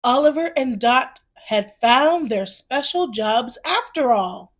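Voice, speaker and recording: Slow, high-voiced woman reading a storybook. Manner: expressive, deliberate storytelling for young children. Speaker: children's story reader. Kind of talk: scripted storybook read-aloud narration.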